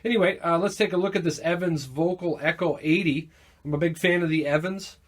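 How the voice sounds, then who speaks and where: A man giving a casual, informal product demo of audio gear, halting a little as he thinks aloud. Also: fairly high pitch, steady tone. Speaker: gear seller demonstrating vintage audio equipment. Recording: noticeable background noise, amateur demo recording.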